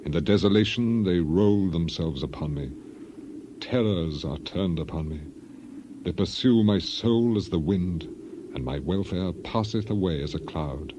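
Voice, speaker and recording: A man's voice: low-pitched, measured pace, muffled recording, noisy background. A man voicing a grieving, dignified biblical elder in a solemn, lamenting, declamatory dramatized reading. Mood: anguished.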